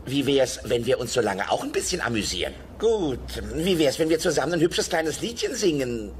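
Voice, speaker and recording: A man voicing a cheeky, excitable dinosaur buddy in a children's cartoon, chatty and eager. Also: mid-range voice, moderate pace, slight background noise, close to an everyday voice. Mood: awkward, stalling. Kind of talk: animated children's audio play acting. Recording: music behind voice.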